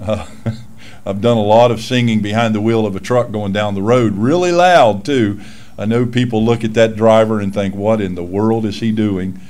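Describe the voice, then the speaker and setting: Folksy older man pastor, smooth voice. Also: on the low side, normal speed, darkish tone. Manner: folksy, self-deprecating, with frequent fillers. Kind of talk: casual church announcements. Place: small church meeting room. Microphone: lectern microphone.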